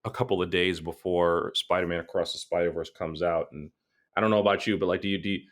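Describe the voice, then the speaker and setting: A man's voice: low voice, fairly even intonation, quick pace. A man from Brooklyn in a casual podcast chat, playful and self-mocking. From Brooklyn, New York. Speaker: podcast guest, film director.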